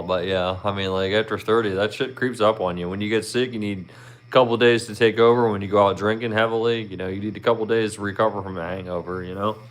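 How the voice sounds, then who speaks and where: A man's voice: sits low, fairly bright tone. A man in his thirties with a Philadelphia accent, chatting casually with viewers on his livestream in a rambling, friendly way full of "you know". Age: 30s. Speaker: livestream host.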